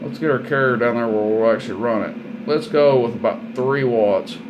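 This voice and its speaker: A man's voice: mid-range voice, brisk, muffled recording, noisy background. A man giving a casual, hands-on radio equipment demonstration in a plain-spoken, folksy, informal way. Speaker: product demonstrator and seller.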